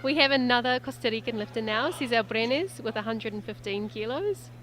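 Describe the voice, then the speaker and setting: A woman's voice: a little high, expressive, brisk. A native English-speaking woman doing live sports commentary, chatty and lightly joking.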